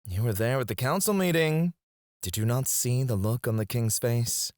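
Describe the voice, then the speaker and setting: A man's voice: mid-range voice, moderate pace, a little mellow, no room sound, studio quality, lightly acted. An actor playing a haughty, sarcastic, prickly and flirtatious male court alchemist in an ASMR fantasy roleplay, with a sardonic drawl. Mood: sly, amused, awkward. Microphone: close studio mic, dry.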